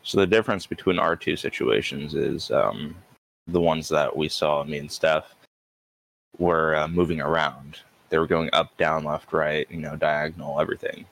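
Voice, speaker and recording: A male podcast host asking calm, measured questions in a casual interview. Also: rumbling low, gravelly, no room sound.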